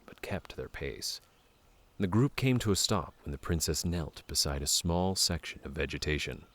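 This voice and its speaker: A man's voice: rumbling low, fairly bright tone. A man narrating a scripted fantasy story for an audio drama.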